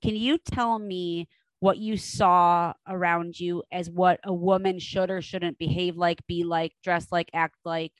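A woman's voice: lowish voice, fairly even intonation, unhurried, no room sound, low-quality recording. A woman podcast host and coach in a casual interview, enthusiastic and affirming, laughing often.

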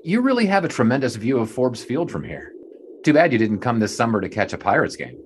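An actor playing a wry, wordy 1920s sports writer in an audio drama, dryly sardonic in both narration and dialogue. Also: male voice, mid-range voice, big pitch swings, brisk, a little hiss or hum, close to an everyday voice. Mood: dry, skeptical. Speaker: narrator and lead character.

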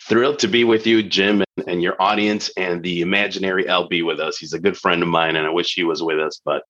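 Deep-voiced Peruvian-born male guest, gracious and eager, dull lo-fi sound. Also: fairly even intonation, brisk, fairly bright tone. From Peru. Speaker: guest, DEI leader. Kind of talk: interview answers.

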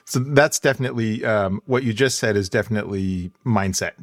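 A man co-hosting a podcast, asking questions in a measured, deliberate way. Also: on the low side, no echo. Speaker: dialogue and voiceover editor, co-host. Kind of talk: podcast interview.

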